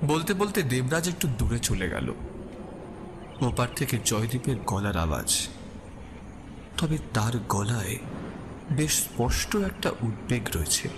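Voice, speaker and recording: A man's voice: medium pitch, sing-song, unhurried, pauses often. A man narrating a scripted suspense audio story as the storyteller. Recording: long reverb, clear background ambience noise.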